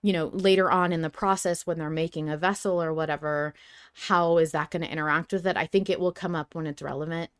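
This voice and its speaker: Casual low-pitched woman podcaster, dry sound. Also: fairly even intonation, fairly quick, smooth, no room sound. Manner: informal, freely swearing. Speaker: podcast co-host. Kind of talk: casual fan podcast discussion.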